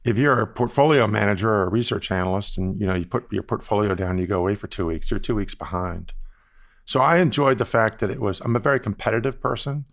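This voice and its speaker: A man in his sixties from the Bahamas, telling stories about his career in a measured, reflective way, as an interview guest. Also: lowish voice, creaky. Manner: measured, reflective, with frequent "you know" asides. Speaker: guest, investment firm founder. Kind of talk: relaxed storytelling interview answers.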